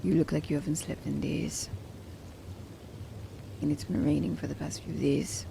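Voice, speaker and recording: A woman playing a reserved assassin who is grudgingly tender, speaking hesitantly and softly in an ASMR romance roleplay. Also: low voice, flat delivery, normal speed, gravelly, background noise. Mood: matter-of-fact. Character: reserved female assassin, grudgingly tender toward captive werewolf.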